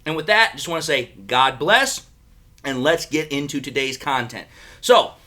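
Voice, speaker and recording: A man's voice: on the high side, normal speed, bright, forceful tone. A man hosting a sports fan show, speaking in a casual, chatty way straight to his viewers. He is a little under the weather, so his voice sounds slightly crackly. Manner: chatty, repeats himself, addresses viewers directly. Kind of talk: casual sports analysis talk.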